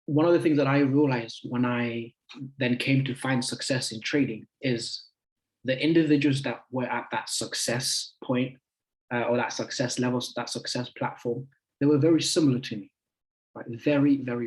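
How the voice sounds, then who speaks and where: A man in his twenties giving a friendly, chatty talk to a group as a guest speaker, halting often with fillers. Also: mid-range voice, fairly even intonation, low-quality recording. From United Kingdom. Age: 20s. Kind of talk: casual talk to an online group.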